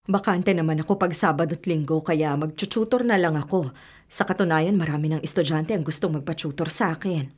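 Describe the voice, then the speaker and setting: A woman's voice: low voice, fairly quick, a little mellow. A woman acting as a hardworking, self-sacrificing middle-aged widowed mother in a Filipino radio drama, earnest and long-suffering. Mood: resolute, weary.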